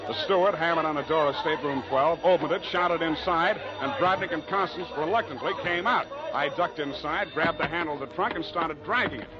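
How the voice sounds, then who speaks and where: An actor playing a wry, hard-boiled private detective in an old radio drama, deadpan and dryly understated. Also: male voice, a little high, a little fast, few pauses, a loud crowd, close to an everyday voice. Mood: dry, matter-of-fact. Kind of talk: old-time radio detective narration and dialogue. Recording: dull vintage radio recording with music.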